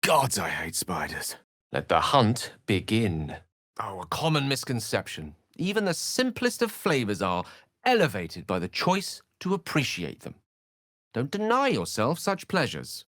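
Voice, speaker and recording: A man's voice: fairly low pitch, sing-song, a little slow, a bit bright, dry recording, clean studio sound, full character voice. An actor voicing a learned, witty, self-assured male wizard in a video game, with a wry, theatrical and bookish way of speaking. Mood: urgent, wary, then gently playful. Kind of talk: video game character voice acting.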